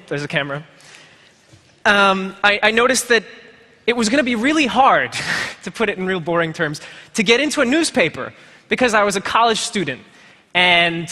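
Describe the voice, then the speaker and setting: A man's voice: on the high side, sharp tone. A man in his twenties giving a conference talk in a rambling, wry, self-deprecating way full of fillers and asides. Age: 20s. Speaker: conference speaker. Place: large lecture hall. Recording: reverberant lecture hall.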